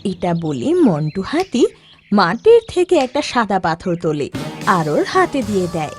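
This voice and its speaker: Lively female storyteller over music. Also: mid-range voice, measured pace. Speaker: narrator. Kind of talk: scripted cartoon story narration. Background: soft background music.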